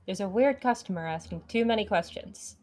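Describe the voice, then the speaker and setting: A woman voicing a florist's casual, excitable daughter in a visual novel, with a conspiratorial manner. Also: medium pitch, fairly quick, close to an everyday voice. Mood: conspiratorial excitement.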